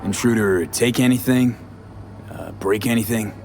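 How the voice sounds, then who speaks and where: Man playing a low, terse street-smart mercenary. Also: moderate pace, a little mellow, close to an everyday voice. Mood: probing. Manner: clipped, terse questioning. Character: laconic street-smart male mercenary investigator in cyberpunk city. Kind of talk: video game voice acting. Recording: music behind.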